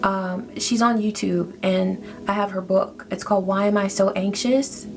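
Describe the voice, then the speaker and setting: A woman's voice: medium pitch, steady tone, measured pace, creaky. A woman giving a casual spiritual tarot reading, reassuring and often checking in with "okay?". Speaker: tarot reader.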